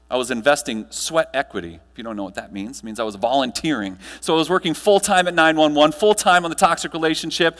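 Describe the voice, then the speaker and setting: An American man delivering a rehearsed personal-story keynote as a motivational speaker on stage, speaking in deliberate, emphatic short phrases. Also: mid-range voice, a bit bright. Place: large indoor hall, speaking on stage. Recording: echoey hall with mains hum.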